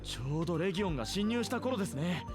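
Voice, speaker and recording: A courteous, earnest young man, voiced by an actor in a video game, formal and careful in speech. He talks very fast with a lively, swooping pitch, and clear game music plays under him. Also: natural voice. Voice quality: polite, formal. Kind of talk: Japanese game dub dialogue.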